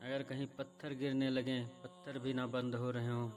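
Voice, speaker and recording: Male religious explainer host, medium pitch, narrow intonation, earnest direct address. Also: level tone, normal speed, a little mellow. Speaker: presenter of religious videos.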